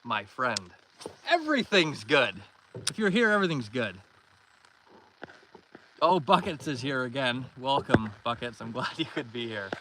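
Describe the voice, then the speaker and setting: A man's voice: medium pitch, sing-song, a little slow, long pauses. Friendly Canadian man livestreaming over outdoor noise. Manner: friendly, welcoming, thanks and greets viewers by name. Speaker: livestream host, angler. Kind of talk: casual livestream chat, reading and answering viewer comments. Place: outdoors in a canoe on a pond. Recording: noisy outdoor recording with water and canoe sounds.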